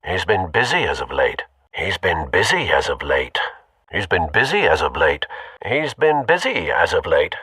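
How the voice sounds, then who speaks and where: Husky, refined older British butler. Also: male voice, deep voice, big pitch swings, moderate pace, bright, forceful tone, no echo, distant mic, exaggerated voice. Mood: dry, attentive. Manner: formal, dry, understated. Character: refined, loyal, concerned older butler man. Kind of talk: video game voice acting.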